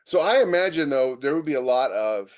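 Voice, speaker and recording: A man's voice: mid-range voice, lively intonation, fairly quick. American man, casual and opinionated podcast talk. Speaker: podcast host. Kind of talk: casual chat.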